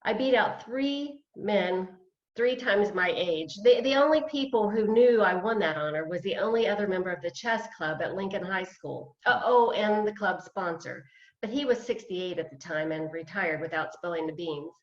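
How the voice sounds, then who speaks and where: A woman's voice: mid-range voice, expressive, moderate pace, close to an everyday voice. A woman playing a self-deprecating, hesitant retired woman of fifty-eight, a beginner dancer, in a play read over video call. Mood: sheepish. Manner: hesitant, wry, chuckling. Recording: roomy, dull video-call audio.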